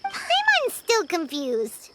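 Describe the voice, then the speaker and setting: A woman voicing a tiny, excitable female fairy companion who talks about herself in the third person, in a game cutscene over music. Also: very high voice, takes it slowly, a little hiss or hum, heavily acted. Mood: puzzled. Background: game score music.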